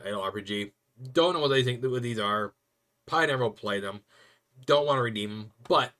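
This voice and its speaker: Chatty, bright-voiced man streamer. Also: lowish voice. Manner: rambling, chatty, laughs often. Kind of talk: casual stream chat.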